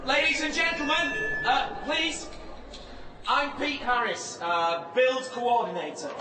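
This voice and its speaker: Excitable showman, eager, matey, radio drama, echoey, distant from mic. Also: very high voice, slow pace. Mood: showy. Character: excitable, chatty event coordinator and showman. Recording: echoey, distant, noisy crowd behind.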